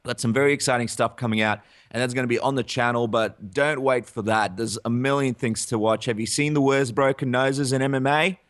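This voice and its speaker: A man's voice: medium pitch, steady tone, a little fast, few pauses, fairly bright tone, no echo, studio quality. A man from Ukraine co-hosting a combat sports podcast in casual chat, laughing often as he talks. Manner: chatty, laughs often, promotes channels. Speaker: podcast co-host.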